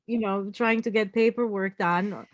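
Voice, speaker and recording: Halting woman, dry sound. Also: medium pitch, fairly even intonation, no room sound. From Croatia. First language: Croatian. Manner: halting, many fillers and restarts, laughs easily. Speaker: interview guest, entrepreneur. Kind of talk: casual interview chat.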